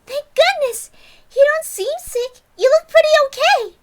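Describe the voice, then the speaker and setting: A woman's voice: expressive, unhurried, slight background noise. A woman voicing a cheerful, caring schoolgirl who exclaims eagerly in a story reading. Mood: relieved, excited. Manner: high, loud, exclaiming delivery. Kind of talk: dramatic reading of a visual novel.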